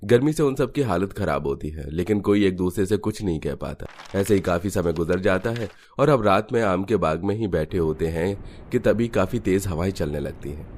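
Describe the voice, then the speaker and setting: Brief: male narrator for cartoon family tales, very low voice, scripted delivery, some noise and clicks on the recording. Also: expressive, moderate pace, a little mellow. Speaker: story narrator.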